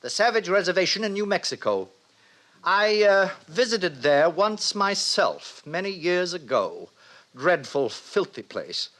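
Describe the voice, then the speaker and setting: An actor playing a pompous, lecherous middle-aged director lecturing theatrically in an old radio drama. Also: male voice, high voice, sing-song, measured pace, fairly bright tone, full character voice. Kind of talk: 1950s radio drama acting. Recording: noisy old radio broadcast recording.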